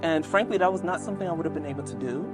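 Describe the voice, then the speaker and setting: A woman in her forties from New York, telling personal stories as an interview guest in an animated way, acting out remembered dialogue. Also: sits low, racing, over music. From Brooklyn, New York, USA. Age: 40s. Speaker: interview guest, lawyer and law professor.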